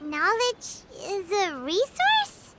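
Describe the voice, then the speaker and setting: A squeaky tiny fairy sidekick. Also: female voice, huge pitch swings, drawn-out words, exaggerated voice. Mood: excitable. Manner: talks of herself in the third person. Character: tiny excitable female fairy companion. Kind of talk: game dialogue. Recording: game audio with loud music.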